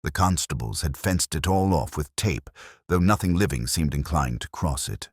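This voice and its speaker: A man playing a melancholy, cerebral police detective, narrating a mystery audio drama in a measured, literary, reflective manner. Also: rumbling low, expressive, breathy edge, no room sound, studio quality, full character voice. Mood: brooding, melancholy.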